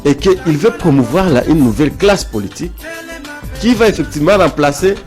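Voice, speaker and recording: A man's voice: on the high side, animated, a little fast, a little mellow, soft background music. A man from South Kivu with a Congolese French accent, speaking as a guest in a political interview, emphatic and repeating phrases to press his points. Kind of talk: political interview, opinionated argument.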